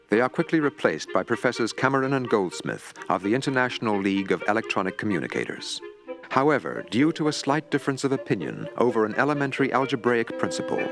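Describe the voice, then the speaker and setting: Low-voiced guy narrating like an old newsreel, swingy delivery, music clearly underneath. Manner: measured, formal, newsreel-like. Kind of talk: scripted documentary narration. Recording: narration over a clear film score.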